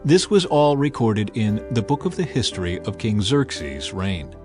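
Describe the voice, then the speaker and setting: A man reading scripture as a dramatic, expressive scripted narration. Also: fairly low pitch, measured pace. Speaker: Bible narrator. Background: music.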